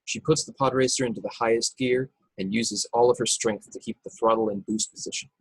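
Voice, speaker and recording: A man's voice: mid-range voice, steady tone, brisk, slightly rough, no room sound, low-quality recording. A man reading scripted action narration and stage directions at an online table read. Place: indoors, remote video call table read.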